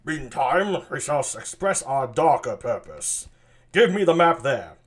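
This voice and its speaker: A man's voice: mid-range voice, sing-song, measured pace, slightly rough. A man voicing an aging king in a play reading, speaking in a stately, declaiming way. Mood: regal. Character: aging male king, grand and weary.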